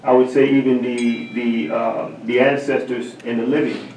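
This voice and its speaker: A man, a drummer appearing as a guest, answering thoughtfully and haltingly with many fillers and restarts. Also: medium pitch, flat delivery, a little mellow, slight background noise. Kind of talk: interview answers. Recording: roomy sound from a distant mic.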